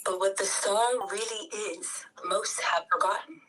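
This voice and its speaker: A woman's voice: medium pitch, fairly even intonation, unhurried, pushed and bright. A woman reading a narrator's part at a table read in solemn scripted narration. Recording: distant mic, noisy background, clicks.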